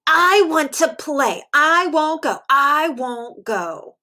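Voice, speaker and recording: A woman's voice: sits high, expressive, slow pace, pushed and bright, pitched above the performer's own voice, heavily acted. A woman voicing an upset, stubborn little boy giraffe in a storybook, whiny and insistent. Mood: stubborn, grouchy.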